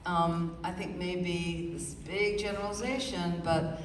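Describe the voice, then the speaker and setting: Slow, thoughtful woman on a stage. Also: fairly low pitch, steady tone, a little hiss or hum. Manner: thoughtful, measured, with many pauses. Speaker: author guest. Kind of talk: live radio interview. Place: stage before a live audience. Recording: roomy hall, far from the mic, audible ambience.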